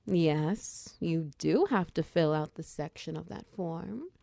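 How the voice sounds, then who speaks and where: A woman's voice: sits low, takes it slowly, dry recording, studio quality, full character voice. A woman playing a confident, flirtatious female minotaur wizard who runs a potion shop, speaking to the listener in intimate, teasing roleplay ASMR. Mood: assured, coaxing. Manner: teasing, coy, softly breathy in places.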